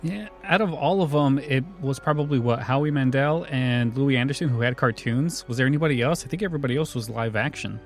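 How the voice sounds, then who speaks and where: A man's voice: mid-range voice, brisk, few pauses, faint music behind. A man hosting a podcast in a casual, conversational style. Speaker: podcast host.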